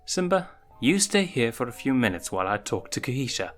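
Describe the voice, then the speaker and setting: A man voicing a calm, motherly lioness huntress in a story reading, teasing and firm, with measured, probing questions. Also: mid-range voice, soft background music, pitched above the performer's own voice. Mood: patient, firm.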